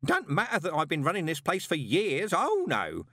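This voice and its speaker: A man playing an anxious, superstitious old junior postman who mutters and rambles in rough colloquial speech. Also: fairly high pitch, huge pitch swings, normal speed, pushed and bright, no echo, clean studio sound, voice pushed up, exaggerated voice. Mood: aggrieved, anxious.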